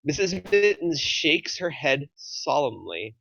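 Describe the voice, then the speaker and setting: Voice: mid-range voice, very animated, takes it slowly, creaky, a bit bright, low-quality recording. A narrator reading scripted stage directions aloud at a film table read.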